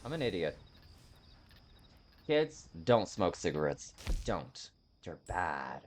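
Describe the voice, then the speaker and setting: A man's voice: deep voice, very animated, slow, slight background noise. A man giving casual video game commentary, thinking aloud in a rambling, self-mocking way over game sounds.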